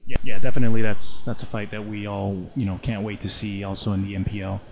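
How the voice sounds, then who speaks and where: A man's voice: on the low side, flat delivery, fast, crowd noise, low-quality recording. A man interviewing casually over the phone in a rambling way, full of filler phrases.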